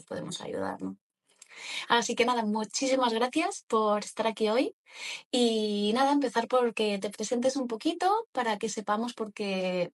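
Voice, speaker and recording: Casual woman hosting a podcast, mid-pitched. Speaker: podcast host, interviewer. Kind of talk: casual conversational interview.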